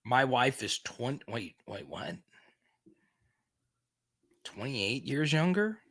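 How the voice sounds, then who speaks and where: Slow-talking, laughing man in his thirties. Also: mid-range voice, very animated, a bit bright, dry recording. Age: 30s. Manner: laughs often, stammering restarts, joking. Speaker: sports podcast host. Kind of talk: casual livestream chat reading viewer comments.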